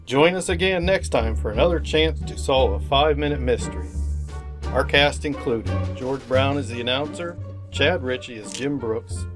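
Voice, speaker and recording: A man's voice: mid-range voice, moderate pace. Male old-time radio announcer over music. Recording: loud music behind voice.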